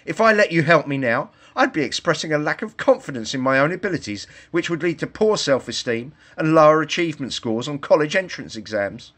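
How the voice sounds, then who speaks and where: A man's voice: fairly high pitch, lively intonation, brisk, hardly any pauses, fairly bright tone, pitched above the performer's own voice. A man voicing an earnest, self-assured little girl in a storybook, lecturing in formal, indignant phrases.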